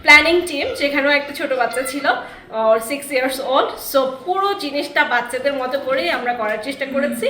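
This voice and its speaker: A woman's voice: high-pitched, quick pace, sharp tone. A woman organizer explaining an event in a friendly way, mixing in English words. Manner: friendly, mixes English words into her speech. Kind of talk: interview statement. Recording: echoey venue with music behind.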